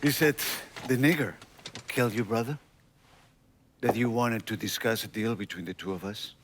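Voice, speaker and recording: An actor playing a cold, formal, sadistic gangster with an Italian accent and deliberate phrasing in a video game cutscene. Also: male voice, on the low side, noisy background, natural voice.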